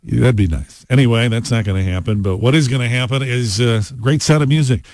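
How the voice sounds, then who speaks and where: A man's voice: mid-range voice, a little fast, darkish tone, no room sound. An American man hosting a rock radio show, talking in casual, conversational DJ patter with playful asides. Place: radio studio. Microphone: close studio broadcast mic.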